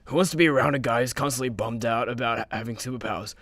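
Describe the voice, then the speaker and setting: An actor playing a brash, crude superhero, mocking and blunt, in a comedy radio play. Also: male voice, medium pitch, very fast, fairly bright tone, no room sound. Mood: sarcastic, impatient.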